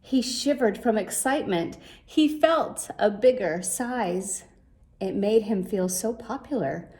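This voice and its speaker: A woman reading a rhyming children's story aloud in a measured, expressive way. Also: medium pitch. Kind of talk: scripted storybook narration in rhyme.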